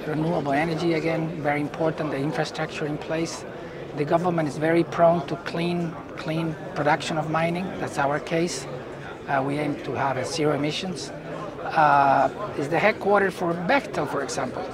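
Higher-voiced man with a Chilean Spanish accent. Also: fairly even intonation, normal speed. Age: middle-aged. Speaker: company chief executive being interviewed. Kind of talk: unscripted business interview. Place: indoor booth at a trade conference. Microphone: lapel mic. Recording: busy event hall chatter behind.

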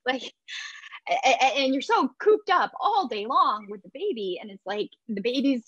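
An awkward, lonely new mother in a stage comedy, high and very animated. She is eager and apologetic, tripping over herself in a husky voice, on slightly muffled video call audio. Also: dry recording, heavily acted. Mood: nervous, needy. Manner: nervous, gushing, halting self-corrections. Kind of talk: comedic play reading, naturalistic.

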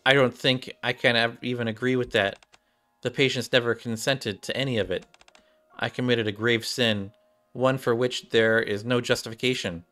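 A man's voice: mid-range voice, steady tone, normal speed, bright, forceful tone. A man in his thirties streaming a game and reading its dialogue aloud in a room at home. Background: game music, keyboard typing.

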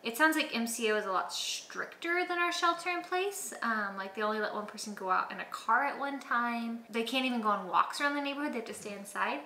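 A woman's voice: on the high side, lively intonation, brisk, few pauses, bright, forceful tone, echoey room, slight background noise. A woman in her thirties from the United States, chatting in a friendly, explanatory way while reacting to a video at home. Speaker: reaction channel co-host. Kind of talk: casual reaction chat. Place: living room, indoors.

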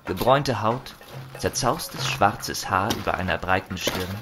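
A man's voice: fairly low pitch, measured pace. Male fantasy storyteller over music. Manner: theatrical, with musing asides. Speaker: narrator of a fantasy audio drama. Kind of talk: dramatic, conversational scripted storytelling.

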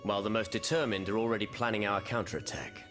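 An actor playing an earnest, grave revolutionary patriot in a video game, speaking formally. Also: male voice, lowish voice, music in the background, lightly acted. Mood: resolute. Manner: formal, deliberate. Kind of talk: video game cutscene dialogue.

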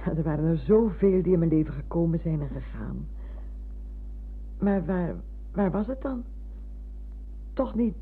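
A woman's voice: fairly low pitch, lively intonation, unhurried, long pauses, slightly rough, full character voice. A woman playing an elderly, motherly and fussy former schoolmistress in a radio drama, hesitating, repeating words and exclaiming. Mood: wistful. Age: older. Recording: muffled phone-like old radio recording, humming noise.

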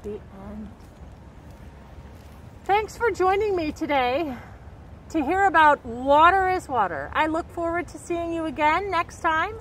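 A middle-aged woman reading a picture book aloud to young children in an expressive, carefully enunciated way, recorded outdoors. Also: very high-pitched, a little slow, long pauses. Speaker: storybook reader and nature educator. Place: outdoors by a woodland creek. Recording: outdoor background noise.

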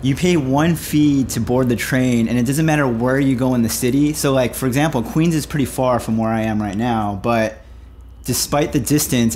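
A man's voice: mid-range voice, darkish tone, a little hiss or hum. A man in his thirties chatting casually in a weekly vlog, calling his viewers "my friends", recorded on a handheld mic in a small apartment. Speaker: vlogger. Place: small apartment room, indoors. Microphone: handheld shotgun mic on boom cable.

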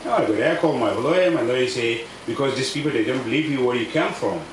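A man in his thirties from South Sudan, speaking halting non-native English with many fillers as he gives a personal account outdoors under a tent. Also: mid-range voice. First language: a South Sudanese language. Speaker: refugee speaker. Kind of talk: personal testimony at a public event. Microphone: table microphone on stand. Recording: echoey, distant outdoor recording with noise.

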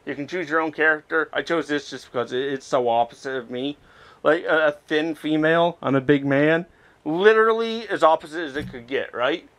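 A man giving casual, excitable commentary as he plays a virtual reality game, reacting aloud as he goes. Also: fairly high pitch, brisk. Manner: excitable, reacting aloud while physically playing. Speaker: video game streamer.

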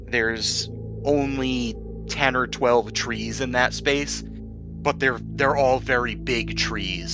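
Male game master, mid-pitched, improvised narration and rules talk, music under the voice. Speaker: game master of a tabletop RPG podcast.

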